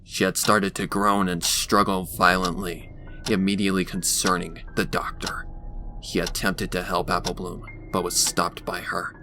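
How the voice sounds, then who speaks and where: A man's voice: low-pitched, little pitch movement, measured pace, music in the background. A man narrating a scripted dramatic story on a noisy home recording.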